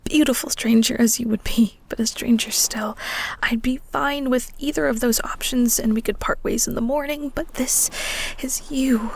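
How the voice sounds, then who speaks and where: A woman playing an earnest, vulnerable, secretly lovestruck married woman of the 1940s in an intimate romantic audio roleplay, with breathy, halting, half-whispered delivery. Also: a little high, fairly even intonation, a little mellow. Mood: earnest, confessing.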